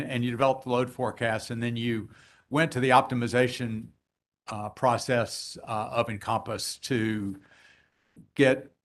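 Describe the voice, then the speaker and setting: A man cross-examining witnesses at a regulatory hearing, who says his voice is loud and fills his questions with hesitations. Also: medium pitch. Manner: hesitant, many fillers, loud voice. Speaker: intervener attorney cross-examining.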